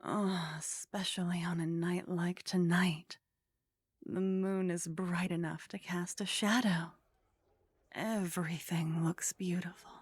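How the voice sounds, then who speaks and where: Woman acting a slow, breathy, flirtatious vampire lady. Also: fairly low pitch, steady tone, no room sound. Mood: teasing, seductive. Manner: slow, teasing, breathy murmur slipping into whispers. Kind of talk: ASMR roleplay. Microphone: close microphone.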